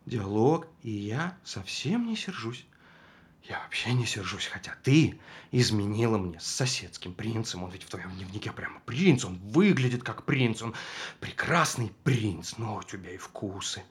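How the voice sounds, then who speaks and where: Актёр играет ревнивого, жестокого мужа, который издевается над женой и то шипит, то срывается на крик. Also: male voice, fairly low pitch, animated, measured pace. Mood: quiet, mocking. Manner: mocking, swings from menacing whispers to shouting. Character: jealous abusive husband tormenting his wife. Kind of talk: stage drama performance.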